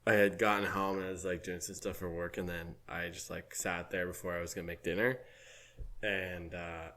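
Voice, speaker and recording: A man with a fairly low voice co-hosting a podcast, sounding tired and a little hoarse. He tells loose, rambling stories and laughs often in easygoing chat. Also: little pitch movement, moderate pace, fairly bright tone, slight background noise. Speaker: podcast co-host. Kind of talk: casual chat.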